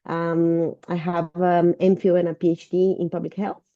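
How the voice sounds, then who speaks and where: A woman's voice: on the low side, flat delivery, moderate pace, no echo. A woman with a Brazilian accent, sick and sounding a bit off, giving an informal presentation as a researcher over a video call. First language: Portuguese. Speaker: university researcher and lecturer presenting. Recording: dull, muffled video-call audio.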